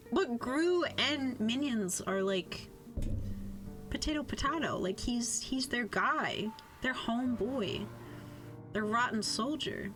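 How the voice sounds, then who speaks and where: A young woman livestreamer chatting casually, playful and informal, swearing freely. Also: on the high side. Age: young adult. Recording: music playing behind the voice. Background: music.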